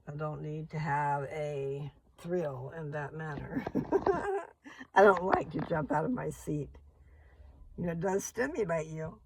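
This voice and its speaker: An older woman with a rough, gravelly voice, rambling slowly and chattily as she vlogs from her parked car. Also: low voice, expressive, noisy background. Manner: meandering, slow, chatty. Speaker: vlogger. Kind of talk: rambling casual vlog.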